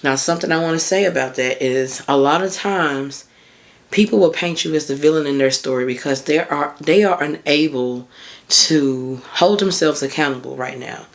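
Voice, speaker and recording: A woman in her thirties with a hoarse voice, hosting a casual solo podcast as a mindset coach and chatting informally and encouragingly with her listeners. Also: sits low, normal speed. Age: 30s.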